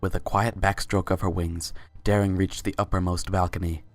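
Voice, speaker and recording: A woman's voice: rumbling low, little pitch movement, moderate pace. A woman reading scripted story narration as the narrator of a dramatic reading.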